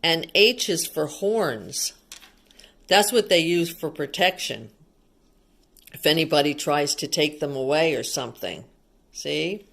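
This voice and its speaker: A woman's voice: low-pitched, animated, a little slow, vocal fry, pauses often, fairly bright tone. A woman reading a picture book aloud to young children, asking simple questions and explaining things as a teacher would.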